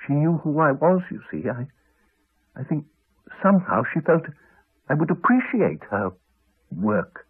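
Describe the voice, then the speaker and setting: An actor playing a haunted man in a 1980s radio drama, telling his story in a hesitant, halting, subdued way. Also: a little high, sing-song, slightly rough, exaggerated voice. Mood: haunted, tense. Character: Alex, haunted man haltingly recounting a horror. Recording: muffled phone-like archive radio recording.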